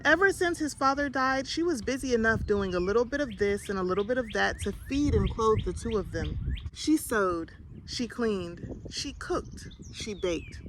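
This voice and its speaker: A woman reading a children's picture book aloud in an expressive storytelling style. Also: a little high, normal speed, slight background noise, poor audio. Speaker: storybook reader.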